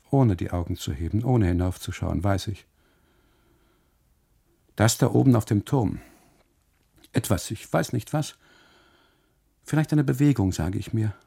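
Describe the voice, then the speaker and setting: An actor playing an uneasy husband on holiday in a literary radio monologue, narrating inwardly and haltingly in a gravelly, husky voice. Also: male voice, on the low side, warm tone, heavily acted. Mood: tense, brooding. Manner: halting, brooding, deliberate phrasing with repetitions and dramatic pauses. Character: uneasy German husband on holiday, obsessively narrating his story.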